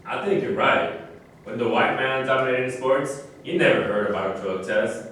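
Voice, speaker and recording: A man's voice: mid-range voice, normal speed, fairly bright tone, close to an everyday voice. A man acting a proud, indignant retired athlete, speaking emphatically in a staged play reading. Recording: very echoey room, distant mic, faint noise.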